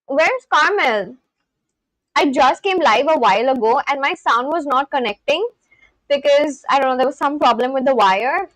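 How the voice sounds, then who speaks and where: A woman's voice: sits high, fairly bright tone, dry recording, rough recording. A woman in her twenties with an Indian English accent, chatting casually on a live stream and reading viewer comments aloud. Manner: chatty, reads viewer comments aloud, frequent likes and laughs.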